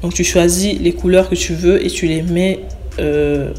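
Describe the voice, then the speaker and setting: A woman's voice: on the low side, measured pace, faint music behind. Young woman with a cold, narrating. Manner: relaxed, step-by-step explaining. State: has a cold. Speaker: beauty YouTuber. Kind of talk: tutorial voice-over.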